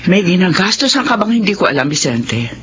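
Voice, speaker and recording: A woman's voice: on the low side, very animated, a little fast, highs cut off, noisy background. An actress playing an anguished, betrayed middle-aged wife and mother with a Filipino accent in a radio drama. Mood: suspicious, stern.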